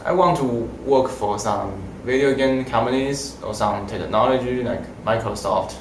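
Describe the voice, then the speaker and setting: A young man whose first language is Mandarin, answering interview questions in halting, simple phrasing. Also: medium pitch, slight background noise. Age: young adult. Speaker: language student. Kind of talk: casual interview. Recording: roomy echo.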